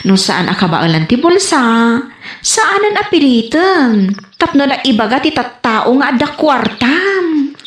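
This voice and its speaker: Woman playing a blunt nosy neighbour, Ilocano Filipino accent, high animated voice, radio comedy drama, echoey room. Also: measured pace. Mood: scolding. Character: blunt, scolding woman neighbour and close friend. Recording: echoey room, faint background noise.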